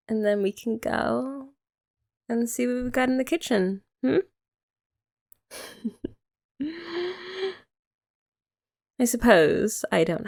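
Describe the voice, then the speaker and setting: A young woman doing a soft, teasing, affectionate ASMR girlfriend roleplay, often laughing, close to the mic. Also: a little high, creaky.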